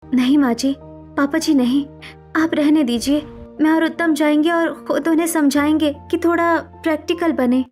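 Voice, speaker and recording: Actress as caring sister-in-law in cartoon melodrama. High voice, earnest coaxing, music underneath. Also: female voice, steady tone, moderate pace, a little mellow, close to an everyday voice. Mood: determined. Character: caring, persuasive, wealthy younger sister-in-law. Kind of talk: Hindi cartoon family melodrama.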